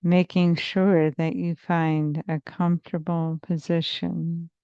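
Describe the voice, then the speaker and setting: Woman doing guided meditation, really slow n soothing, long pauses, low voice, dry close sound bit dull. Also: little pitch movement, dark tone, smooth, dry recording. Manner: soothing, measured, hypnotic phrasing with long pauses. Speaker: therapist leading a guided meditation.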